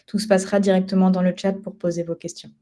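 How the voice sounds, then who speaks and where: A woman's voice: on the low side, little pitch movement, fast talker, warm tone. A young woman co-hosting a live webinar from an office, explaining things in a conversational way with frequent hesitations. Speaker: project officer and webinar co-host. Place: office at headquarters.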